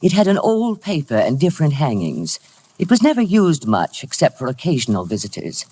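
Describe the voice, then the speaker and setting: A cold, deep-voiced formal housekeeper. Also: female voice, huge pitch swings, fairly quick, mellow, breathy edge, exaggerated voice. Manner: stiff, deferential, formal servant speech. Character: cold, formal, tall gaunt English housekeeper. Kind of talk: 1950s radio drama acting. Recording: vintage radio broadcast recording.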